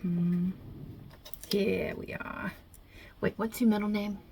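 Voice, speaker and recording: A woman's voice: medium pitch, steady tone, slight background noise. A woman voicing a non-binary God as a brash, irreverent mob boss with a put-on Italian-American New York-New Jersey accent, wry and offhand, in an ASMR roleplay. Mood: businesslike, kindly. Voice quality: husky, breathy, hushed. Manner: wry, offhand, blunt, hesitant pauses, swearing.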